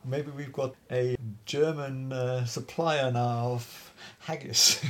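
Man, organizer, halting restarts, chuckles often, mid pitch. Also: fairly even intonation. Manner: halting, many restarts and laughs. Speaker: dance group organizer. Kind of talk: casual interview chat.

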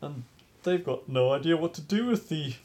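A man chatting in an interview about organizing a dance event, halting with many restarts and laughs. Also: on the high side, lively intonation, darkish tone. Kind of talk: casual interview chat.

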